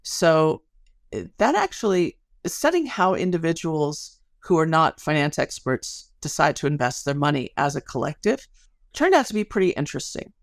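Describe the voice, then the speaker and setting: A woman's voice: low voice, sing-song, moderate pace, no room sound, studio quality. A middle-aged American woman explaining her research as an interview guest in an informal, articulate, storytelling way. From Chicago area, United States.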